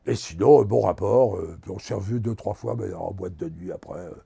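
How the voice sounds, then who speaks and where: An older man, a veteran actor, telling animated anecdotes in a relaxed interview and acting out the lines of the people in his stories. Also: deep voice, brisk, gravelly, breathy edge. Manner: animated anecdotes, acts out quotes. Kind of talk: casual storytelling interview.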